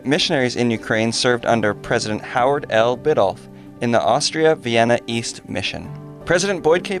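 Man reading history as co-host. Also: medium pitch. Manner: even, reading from a script. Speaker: podcast co-host. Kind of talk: scripted history narration.

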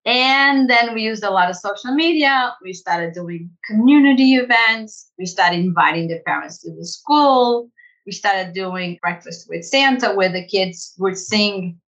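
A woman school director telling her story in an interview, animated and conversational, laughing easily. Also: medium pitch, a little slow, dull audio. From United States. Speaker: executive director of a language school.

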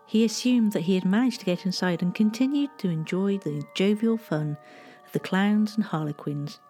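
Mellow woman narrating history. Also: medium pitch, faint music behind. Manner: measured, gently storytelling delivery.

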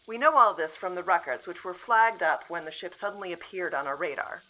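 A woman playing a calm official from the future. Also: on the low side, brisk, dull audio. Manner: composed, apologetic, matter-of-fact. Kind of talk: audio drama acting.

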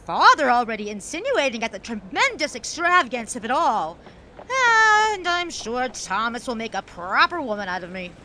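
A woman playing an effervescent young upper-crust socialite of the 1920s in an audio drama, genteel, wordy and halting. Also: a little high, sing-song, bright, forceful tone, slight background noise. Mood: flustered, polite. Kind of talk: period audio drama acting.

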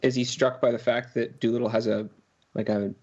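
A man's voice: medium pitch, little pitch movement, fairly quick, a crowd in the background. A man co-hosting a podcast in casual comic banter, asking mock-indignant questions. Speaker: podcast co-host. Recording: slightly dull, lo-fi sound.

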